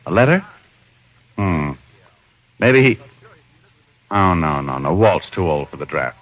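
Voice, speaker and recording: A man's voice: deep voice, big pitch swings, slow, full character voice. A man playing a wisecracking, teasing private detective with glib, sardonic delivery in 1940s radio drama style. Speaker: lead actor. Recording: dull old radio recording.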